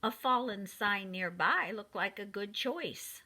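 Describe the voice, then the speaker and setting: A woman reads a storybook to preschool children with a very lively, swooping pitch. Her delivery is slow and deliberate, and her voice is bright and clear in its address to the young listeners. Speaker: preschool teacher reading a picture book.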